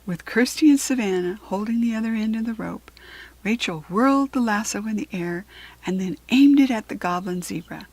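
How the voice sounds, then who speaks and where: A woman with an American accent reading a children's book aloud in expressive narration, with a breathy voice. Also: mid-range voice, moderate pace, dry recording.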